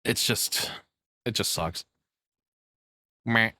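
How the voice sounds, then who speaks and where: A man's voice: fairly low pitch, very slow, sharp tone, no room sound, studio quality. A man giving casual, rambling reaction commentary in a sarcastic, cynical manner with frequent swearing. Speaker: streamer reacting to a video.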